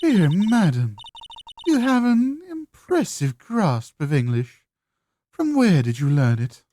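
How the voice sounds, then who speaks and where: A prim, haughty middle-aged matron from a video game, played by a woman. She speaks with stern, stately formality and archaic courtesy, her pitch rising and falling with each pronouncement. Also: sing-song, takes it slowly, warm tone, slight background noise. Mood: politely haughty.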